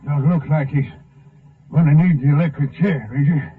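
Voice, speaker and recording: An American actor playing a laconic, helpful ranch hand with folksy, clipped sentences in a 1950s radio western. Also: male voice, a little high, moderate pace, close to an everyday voice. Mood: hushed, grim. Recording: tinny vintage 1950 radio broadcast.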